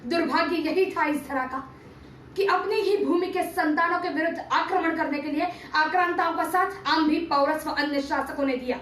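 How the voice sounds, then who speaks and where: A woman's voice: sits high, moderate pace, a bit bright. A woman performing a theatrical monologue as a stern, patriotic male teacher-statesman of ancient India, solemn and declamatory in formal diction. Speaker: amateur performer reciting a TV serial monologue. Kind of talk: theatrical dramatic monologue, oratory. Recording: roomy, distant mic, faint background noise.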